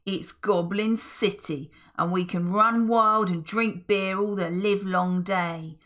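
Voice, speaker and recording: A woman's voice: medium pitch, steady tone, slow pace, a bit bright. A woman voicing a nasty old male villain who boasts in coarse, ungrammatical speech. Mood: scheming.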